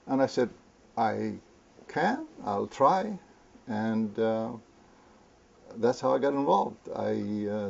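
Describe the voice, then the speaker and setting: An older man from Lebanon, a guest in an interview, talking hesitantly with many fillers and pauses. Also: medium pitch, gravelly, darkish tone, husky. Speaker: interview guest, community founder. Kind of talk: conversational interview. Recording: muffled lo-fi recording.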